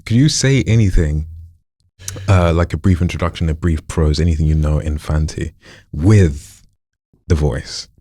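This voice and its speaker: Low mellow-voiced host guy, kinda husky, asks lots of curious rambly questions. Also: a little slow, no room sound, studio quality. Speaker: podcast host. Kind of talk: casual interview chat.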